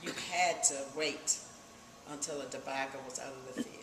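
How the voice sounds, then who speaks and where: A woman's voice: extremely low pitch, steady tone, normal speed. An older woman from Virginia with a Southern accent, reminiscing emphatically and repeating phrases for weight. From Virginia, United States. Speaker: oral history interviewee. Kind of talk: personal reminiscence. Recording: echoey room with clear background noise.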